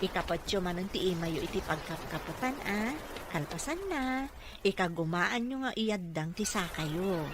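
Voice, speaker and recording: A woman's voice: fairly low pitch, animated, moderate pace, natural voice. A woman with a Filipino accent playing a dutiful, caring caregiver in an Ilocano radio drama. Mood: respectful, encouraging. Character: dutiful, caring female caregiver and working mother. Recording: noisy ambient background.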